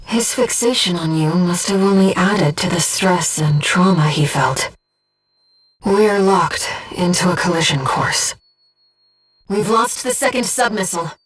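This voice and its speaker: A woman voicing a gentle, disembodied young female companion in a video game, giving a mercenary pilot composed, earnest and precise tactical reports in a soft, breathy voice. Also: lowish voice, expressive, measured pace, distant mic, faint music behind, low-quality recording. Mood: troubled, solemn. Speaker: mission operator companion.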